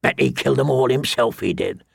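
A man's voice: medium pitch, a little fast, vocal fry, breathy edge, no echo, studio quality. A man voicing a grizzled, grim old man-at-arms in an audiobook, with grave, rustic, plain speech. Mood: mocking.

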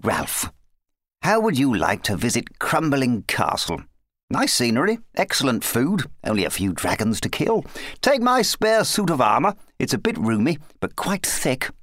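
A man's voice: mid-range voice, wildly swooping pitch, measured pace, fairly bright tone, dry recording, clean studio sound, exaggerated voice. A man voicing a genial, commanding and breezily persuasive king in a children's story. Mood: breezy. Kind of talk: children's storybook character reading.

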